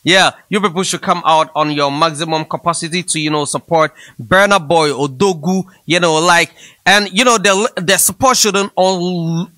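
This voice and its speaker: A young man with a Ghanaian accent talks casually about music to his viewers. His voice is bright, forceful and on the high side, and he drives points home by repeating them. The sound is dry and close on a studio mic. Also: normal speed, dry recording. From Africa. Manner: emphatic, repeats his phrases. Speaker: host. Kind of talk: casual music commentary to camera. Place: small indoor room. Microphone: close studio microphone on boom arm.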